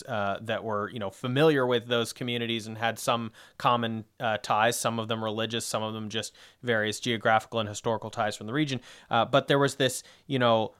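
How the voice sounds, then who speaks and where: A man's voice: lowish voice, fairly bright tone, clear, resonant tone. Rambling man on a discussion podcast. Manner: rambling, filler-heavy explanation with many asides. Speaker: podcast co-host.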